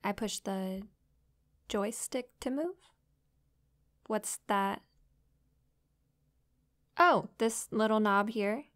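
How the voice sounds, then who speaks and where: A woman playing a friendly, curious young succubus in an intimate roleplay audio drama, with a soft, playful, inquisitive manner. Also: mid-range voice, drawn-out words, long pauses. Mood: curious, eager. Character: friendly, curious young female succubus new to human life.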